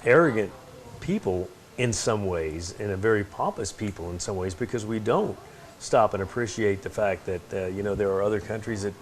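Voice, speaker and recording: A man's voice: on the low side, normal speed. A middle-aged man from Texas, interviewing a guest in a rambling, easygoing way. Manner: easygoing, rambling questions with fillers. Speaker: TV station host, interviewer. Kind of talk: casual interview. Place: tv station room.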